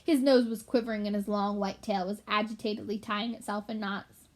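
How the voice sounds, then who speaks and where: A woman's voice: mid-range voice, steady tone, fairly quick. A woman storyteller reading a children's book aloud expressively and in an explanatory way for young listeners.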